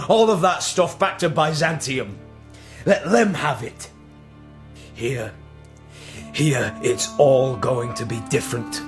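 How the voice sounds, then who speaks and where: A man playing a hard, resolute but gruffly merciful barbarian general in a radio drama. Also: fairly high pitch, heavily acted. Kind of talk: British radio drama acting. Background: music.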